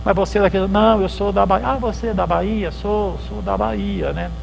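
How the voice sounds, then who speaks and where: A pastor gives a history lecture in a loose, storytelling way, slipping into little acted exchanges between people. His voice sits a bit high for a man and has a gravelly edge. A faint mains hum runs under the recording. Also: steady tone, fairly quick, poor audio. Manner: conversational storytelling, acts out dialogues. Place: lecture hall at a theological symposium.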